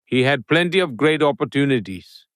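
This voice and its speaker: Brief: male guest voice, mid pitch, measured speech-like delivery, dry mic. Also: animated, fairly bright tone, no echo. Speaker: interview guest.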